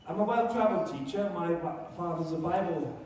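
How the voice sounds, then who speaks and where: A man's voice: high-pitched, lively intonation, fairly quick, a little mellow. A man from Germany, a teacher, leading a conference workshop in a self-deprecating, joking manner. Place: conference room. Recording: echoey room, distant mic, faint noise.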